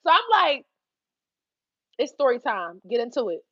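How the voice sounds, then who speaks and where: A young woman with a Philadelphia accent telling a story on her livestream, animated and swearing freely between laughs. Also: high-pitched, normal speed, dry recording, lo-fi sound. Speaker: YouTube livestream host. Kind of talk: casual livestream storytelling to chat.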